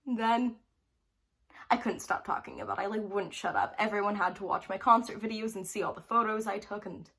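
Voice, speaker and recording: A teenage girl talking straight to the camera in a loose, chatty vlog. She rambles happily, fills her sentences with "like" and breaks into giggles between phrases. Her voice sits at an ordinary middle pitch for a girl. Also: brisk, a bit bright. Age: teens. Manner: bubbly and rambling, full of "like" fillers. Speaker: vlogger. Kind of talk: casual storytelling to camera.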